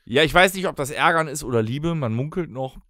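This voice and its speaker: A man's voice: fairly high pitch, animated, a little fast, pushed and bright, no room sound, studio quality. A man co-hosting a podcast, telling stories in casual banter. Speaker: podcast co-host.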